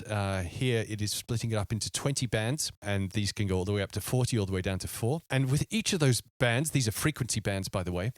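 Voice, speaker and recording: A man presents a tutorial in an informal, think-aloud style. His voice sits at a medium pitch. The recording is close and dry, with very little room sound. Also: no room sound. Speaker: music production tutorial host. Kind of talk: casual screen tutorial, explaining step by step.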